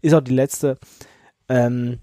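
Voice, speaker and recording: A man's voice: medium pitch, fairly even intonation, slow pace, mellow, no room sound, studio quality. A man hosting a podcast, chatting casually and rambling with many fillers, stuffy-nosed from a cold. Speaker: podcast host. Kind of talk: casual chat.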